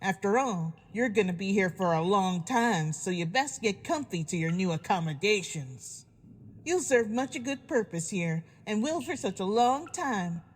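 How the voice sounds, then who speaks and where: A woman's voice: medium pitch, animated, normal speed, close to an everyday voice. A woman voicing a sadistic farm pony villain in a fan audio drama, taunting with folksy mock politeness. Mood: mock-sweet menace.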